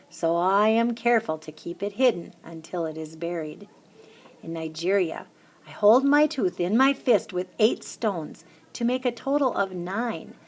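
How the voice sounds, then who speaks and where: Woman reading a children's book aloud. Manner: lively, lifts her voice high for the children's chants. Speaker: reader of a children's picture book. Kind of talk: storybook read-aloud.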